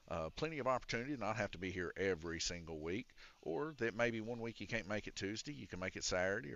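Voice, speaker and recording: A low-voiced man with a raspy, chest-congested tone hosts a live-streamed RC race night indoors. His voice is deep yet forceful and bright-edged, and he rambles through the rules with frequent fillers. The sound is dull and lo-fi, like a hobby live stream. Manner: rambling and explanatory, with many "uh" fillers. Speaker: race announcer and organizer. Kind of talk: casual live-stream commentary. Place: indoor RC raceway shop. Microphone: wired or wireless handheld mic.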